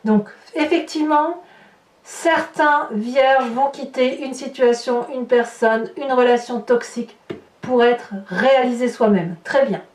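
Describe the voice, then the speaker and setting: A woman with a fairly high voice speaks as a tarot reader to her viewers. She thinks aloud informally, often checking in with small questions. The sound is very roomy and a little far from the microphone. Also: a little slow. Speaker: tarot reader hosting a horoscope channel. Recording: roomy echo, distant microphone.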